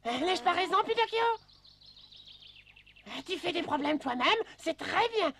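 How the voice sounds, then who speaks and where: Creaky, high-pitched preachy cartoon critter. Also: female voice, lively intonation, measured pace, slight background noise, full character voice. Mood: cheerful, sly. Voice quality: creaky, sharp. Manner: exclamatory, moralizing. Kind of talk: dubbed cartoon acting.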